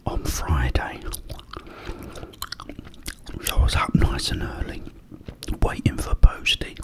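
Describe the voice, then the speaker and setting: A British man whispering a casual, rambling ASMR story up close to the microphone, with pauses. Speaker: ASMR video creator.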